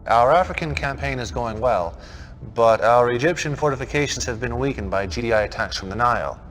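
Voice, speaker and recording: An actor playing a commanding, self-assured cult leader in a video game cutscene, speaking in a measured, imperious, knowing way. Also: male voice, mid-range voice, a bit bright, lightly acted, low-quality recording. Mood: briskly commanding.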